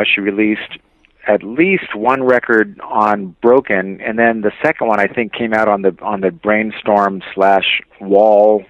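A man reminiscing casually as an interview guest, speaking in a hesitant, halting way. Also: fairly low pitch, no echo, phone-quality sound. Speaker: interview guest, music label veteran.

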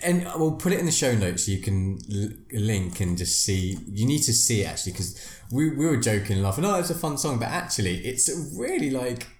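A man co-hosting a casual podcast, quick to jump in and joke. His voice is animated, with wide swings in pitch. The recording has a noticeable room echo. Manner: excitable, quick to interject and joke. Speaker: podcast co-host. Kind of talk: casual chat. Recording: roomy echo.

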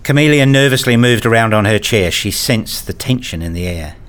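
A man reading a scripted first-person story as narrator, in a measured, introspective manner. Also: medium pitch, animated, fairly bright tone.

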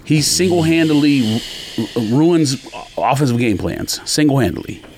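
A man's voice: medium pitch, animated, measured pace, a little mellow, slight background noise. A man co-hosting a sports podcast, talking casually and arguing his points in an emphatic, insistent way.

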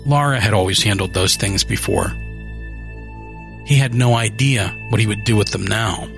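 A man narrating a horror short story in a measured, steady scripted reading. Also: fairly low pitch, creaky, faint music behind.

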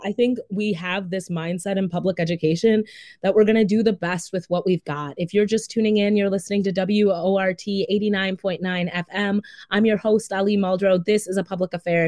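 A woman hosting a public radio talk show in a studio, with a slightly low voice. She speaks in a gracious, conversational way. The studio mic gives a close, dry sound. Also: warm tone, no echo. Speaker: radio host.

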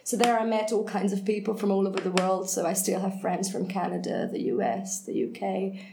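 A woman's voice: medium pitch, brisk. A woman from Hungary, speaking English as a guest in an unscripted conversation, thoughtful and often correcting herself. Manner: thoughtful, self-correcting, frequent hesitations. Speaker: head of English department, guest. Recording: echoey room, faint noise.

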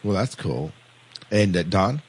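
A man hosting a casual panel discussion, speaking haltingly and often repeating words. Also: low-pitched, lively intonation, slight background noise. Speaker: podcast host.

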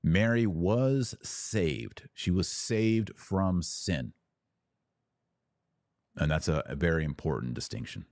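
A man hosting a live religious question-and-answer talk, explaining didactically and emphatically, pausing deliberately on key words. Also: low voice, animated, darkish tone, no echo. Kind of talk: live theological teaching and viewer Q&A.

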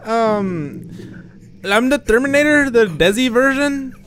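A man's voice: extremely high pitch, animated, slow pace, slight background noise, voice pushed up, heavily acted. Man doing a goofy Terminator with an Indian accent. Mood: mock menacing. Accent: Indian, put on. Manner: loud, giggly, hamming it up. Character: bumbling male Terminator with a put-on accent.

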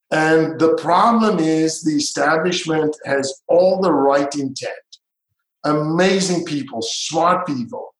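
Emphatic man in an echoey room. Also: fairly high pitch. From South Africa, raised in Israel. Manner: emphatic, repetitive, drawn-out phrasing. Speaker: entrepreneur guest. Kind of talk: interview conversation.

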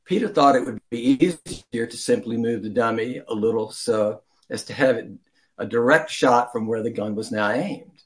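Man, mid-pitched voice, storybook reading for kids, deliberate, slightly stumbling amateur delivery.

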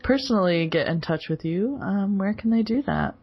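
A woman's voice: mid-range voice, lively intonation, moderate pace, husky. A woman hosting a casual podcast chat, laughing often between lines. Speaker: podcast host. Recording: dull lo-fi recording.

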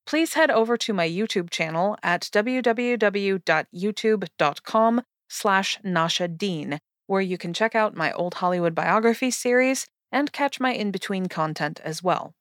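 A woman's voice: mid-range voice, expressive, measured pace, few pauses, dry recording. Woman hosting a podcast, close dry sound. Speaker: podcast host. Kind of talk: scripted podcast introduction.

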